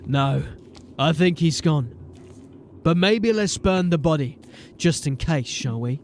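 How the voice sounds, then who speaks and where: An actor playing a wry, resolute city watch sergeant in a fantasy audio drama. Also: male voice, fairly high pitch, slow pace, pauses often, slight background noise. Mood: wry, grim. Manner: commanding, wry, grimly determined. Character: gruff, resolute male city watch sergeant turned captain. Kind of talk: audio drama acting and first-person narration. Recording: music behind the voice. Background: music.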